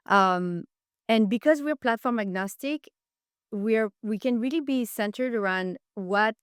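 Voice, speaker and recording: A woman's voice: medium pitch, smooth, dry recording, clean studio sound. A woman speaking as a podcast guest, giving enthusiastic, explanatory answers in a conversational interview style. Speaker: podcast guest, marketing executive.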